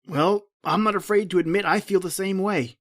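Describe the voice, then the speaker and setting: An actor playing a polite, deferential young fiancé growing uneasy, in old-time radio drama style. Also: male voice, high-pitched, normal speed, fairly bright tone.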